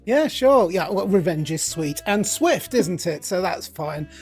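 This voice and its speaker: A middle-aged man from England speaks with a British accent over a remote call, a coach guesting on an interview. He tells his story with animated swings, acting out his own inner voice. Faint music plays underneath. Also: high-pitched, unhurried. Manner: animated, acts out his own quoted thoughts. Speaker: guest, accountability coach and author.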